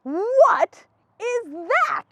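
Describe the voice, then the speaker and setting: A woman voicing a wary, easily disgusted male elephant in a children's storybook, with hesitant, drawn-out questions. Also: extremely high pitch, big pitch swings, bright, forceful tone, pitched above the performer's own voice, heavily acted. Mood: disgusted, doubtful.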